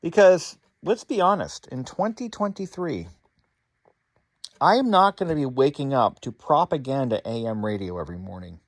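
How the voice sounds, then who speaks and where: A man's voice: mid-range voice, animated, moderate pace, dry recording. A man presents a hobby electronics project in a loose, rambling chat to his viewers. His mid-range voice swings widely up and down as he talks. He is wry and sarcastic, dropping dry asides and the odd casual curse. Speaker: host of a hobby electronics repair channel.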